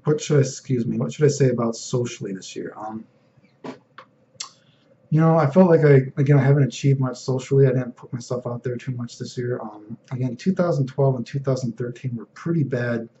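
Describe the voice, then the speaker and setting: A young man with a deep voice, rambling casually in a personal vlog, full of fillers and "you know what I mean.". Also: fairly quick, warm tone, lo-fi sound, a little hiss or hum. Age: young adult. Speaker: vlogger.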